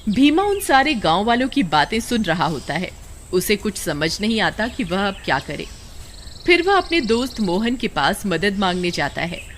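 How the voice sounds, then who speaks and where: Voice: mid-range voice, very animated, moderate pace, slight background noise. A storyteller reading scripted narration for a cartoon tale. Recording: loud music behind the voice.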